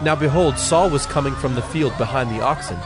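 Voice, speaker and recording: A male narrator reads a scripture story in a solemn, measured way. His voice is medium in pitch, with music playing clearly behind it. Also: crowd noise.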